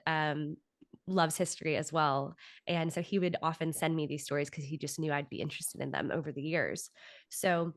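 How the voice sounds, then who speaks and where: A woman's voice: low voice, no echo, clean studio sound. A woman speaking as an interview guest, explaining her own creative work conversationally with loose asides. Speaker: podcast guest, writer and audio drama producer.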